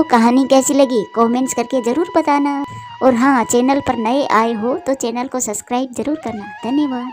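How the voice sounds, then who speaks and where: A female storyteller with a high voice narrates a scripted children's cartoon tale. Soft music runs underneath her reading.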